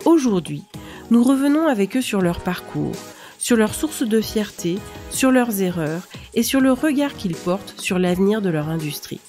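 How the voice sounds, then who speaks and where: A woman with a mid-range voice hosts a podcast, opening with a scripted introduction before asking her guest questions. Her delivery is clear, measured and polished. Faint music plays behind her. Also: medium pitch, big pitch swings, a little mellow. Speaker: podcast host.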